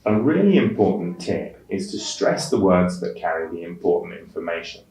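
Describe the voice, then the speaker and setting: A man teaching an English test-prep lesson in a measured, clearly enunciated way, stressing key words. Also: sits low, very animated, dark tone. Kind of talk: instructional lesson narration with read-aloud examples. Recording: roomy echo, distant microphone.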